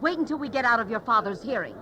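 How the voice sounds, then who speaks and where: A woman's voice: on the high side, lively intonation, very fast, crowd noise, natural voice. An actress playing a worried, wavering mother of grown daughters in a Bible drama, hesitant and emotional. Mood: secretive, brisk. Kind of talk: dramatized Bible story acting.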